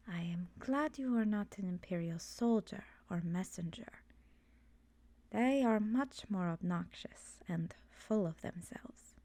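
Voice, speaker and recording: Woman playing a slow, hushed ancient swamp witch. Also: medium pitch, no echo. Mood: wry, cautioning. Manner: soft-spoken, often whispery, unhurried storyteller. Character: ancient immortal swamp witch, wistful, gently knowing. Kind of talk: ASMR roleplay.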